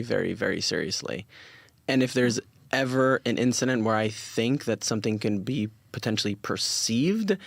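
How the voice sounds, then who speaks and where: A man's voice: mid-range voice, creaky, no echo. A forty-year-old man speaking casually as an interview guest, earnest and emphatic, repeating words and acting out quoted dialogue. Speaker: interview guest, influencer marketing company founder.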